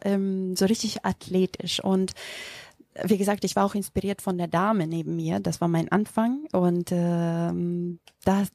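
A woman's voice: mid-range voice, warm tone, dry recording, studio quality. A woman in her thirties, a native Macedonian speaker with a Macedonian accent, answering interview questions with many hesitations and restarts. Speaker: yoga teacher, interview guest.

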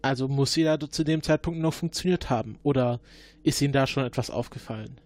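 A man playing a formal time-travelling agent who questions a suspect in halting, filler-laden speech during an improvised roleplay. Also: medium pitch, darkish tone, lightly acted. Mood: probing. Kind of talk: improvised murder-mystery roleplay.

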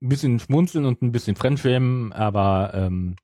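A man's voice: medium pitch, normal speed, warm tone, dry recording, studio quality. A middle-aged man hosting a podcast in casual chat, explaining and qualifying his points. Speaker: podcast host. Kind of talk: casual chat about an audio drama series.

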